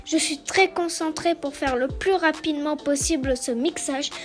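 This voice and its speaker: A woman's voice: high voice, normal speed, soft background music. A woman talking casually and informally, like a guest in a video challenge. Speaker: guest musician in a timed song challenge. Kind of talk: casual chat. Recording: roomy, echoing sound.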